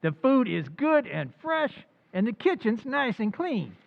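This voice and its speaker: A man playing a sardonic tavern waiter in an old-time radio comedy recreation, with deadpan delivery. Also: extremely high pitch, unhurried, creaky, muffled recording, heavily acted. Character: Eddie, dry sarcastic tavern waiter, man.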